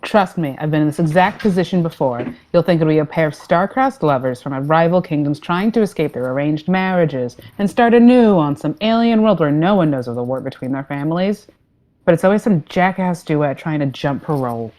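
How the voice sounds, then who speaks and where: A woman's voice: low voice, fairly quick. A woman playing a no-nonsense, jaded starship captain with a dry delivery in a sci-fi comedy play. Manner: dry, deadpan.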